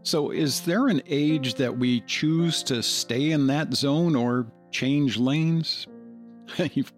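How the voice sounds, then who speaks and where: A man's voice: medium pitch, takes it slowly. A man moderating a reflective discussion in a thoughtful, musing manner. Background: music.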